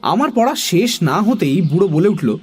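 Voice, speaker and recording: A curious young storyteller, voiced by a man tells a children's nonsense tale in a high, very lively voice. Faint music runs underneath. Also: moderate pace. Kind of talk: animated scripted narration of a children's story.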